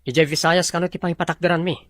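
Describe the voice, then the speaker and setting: An actor plays an ambitious young businessman pitching to his father in an audio drama. His voice is on the high side and recorded dry. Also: steady tone, racing, a bit bright, dry recording, close to an everyday voice. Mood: eager. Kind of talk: Ilocano audio drama acting.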